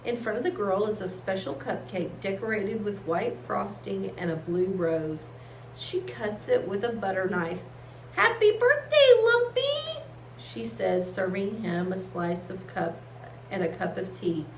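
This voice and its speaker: A woman reading aloud to children like a teacher, expressive and encouraging. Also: medium pitch, measured pace. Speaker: story reader, teacher. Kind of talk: read-aloud storytelling for children. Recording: echoey room, faint background hiss.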